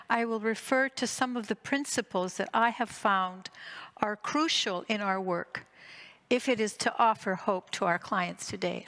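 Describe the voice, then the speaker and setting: Measured woman in her sixties, lecturing. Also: medium pitch, fairly bright tone. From Greece and Canada. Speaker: psychotherapist giving a conference talk. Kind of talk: prepared conference lecture with personal story.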